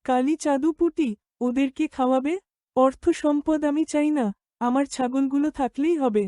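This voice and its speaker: A woman's voice: sits high, steady tone, normal speed, mellow, dry recording, studio quality, close to an everyday voice. A woman voicing a kind, simple young goatherd boy in a cartoon, speaking earnestly. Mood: content. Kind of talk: cartoon dialogue.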